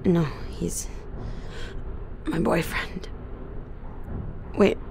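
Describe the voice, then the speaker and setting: A woman playing a dazed young colonist fresh out of cryosleep, halting and hesitant, in a naturalistic audio drama. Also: on the low side, steady tone, vocal fry, noisy background, exaggerated voice. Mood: subdued.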